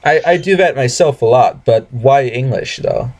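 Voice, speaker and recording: A man whose first language is German, co-hosting a casual podcast, mostly giving short, unhurried agreeing replies. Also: mid-range voice, a little mellow. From United States. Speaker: podcast co-host. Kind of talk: casual chat.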